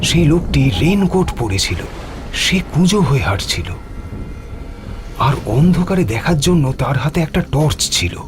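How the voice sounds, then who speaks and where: A man's voice: fairly high pitch, lively intonation, measured pace, slight background noise. A man narrating a scripted horror story in a dramatic, suspenseful way. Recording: music behind the voice.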